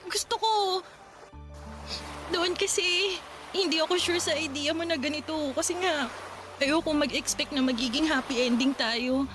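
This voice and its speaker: A woman's voice: sits high, measured pace, over music. A Filipino woman acting an emotional, devoted young bride-to-be and single mother in a radio drama. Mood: tearful, hesitant.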